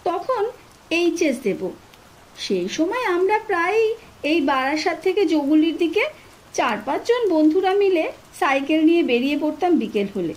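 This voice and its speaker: Woman voicing a boastful, fearless cousin, animated and high. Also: unhurried, heavily acted, rough recording. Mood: eager, recounting. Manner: boastful, dismissive, colloquial. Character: fearless, scornful older male cousin telling his experience.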